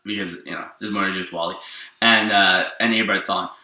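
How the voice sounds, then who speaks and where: A man's voice: low voice, steady tone, a little fast, sharp tone. A man rambling through a casual, improvised story explanation, often checking that his listener follows. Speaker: storyteller presenting his own superhero story. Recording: roomy echo, distant from the mic.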